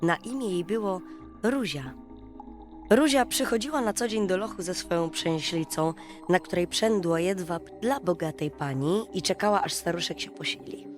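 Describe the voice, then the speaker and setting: Lower-pitched woman narrating a bedtime fairy tale. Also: normal speed. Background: music.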